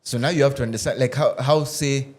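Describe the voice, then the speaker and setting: A man talking casually on a podcast, telling stories with animation, laughing and restarting as he goes. His voice sits at a middle pitch for a man. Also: fairly even intonation, fast talker. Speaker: actor and podcast guest. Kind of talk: casual roundtable chat. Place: podcast studio.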